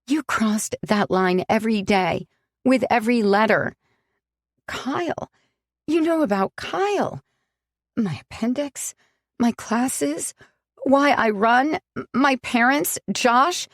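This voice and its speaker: A woman's voice: on the high side, slow pace, pauses often, no room sound, studio quality, voice pushed up. A woman playing a hurt, betrayed young woman. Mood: upset.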